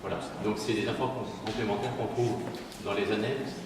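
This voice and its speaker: A man's voice: lowish voice, fairly even intonation, brisk. A man on a council giving an explanatory presentation from documents at a meeting. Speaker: council member. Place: large echoey meeting room. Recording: echoey hall, distant mic, background noise.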